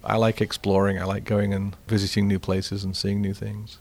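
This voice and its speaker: An Englishman with an English accent looks back on his life in a low, level voice that barely rises or falls. His telling is measured and reflective, an unhurried personal account. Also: monotone, darkish tone. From England. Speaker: interviewee. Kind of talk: storytelling.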